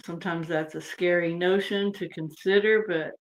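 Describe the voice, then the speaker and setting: A woman from Texas, talking as a guest on a video-call podcast in a relaxed, rambling way. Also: lowish voice, moderate pace, poor audio. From United States (Texas). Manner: relaxed and rambling, trailing off with fillers. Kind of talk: casual interview chat.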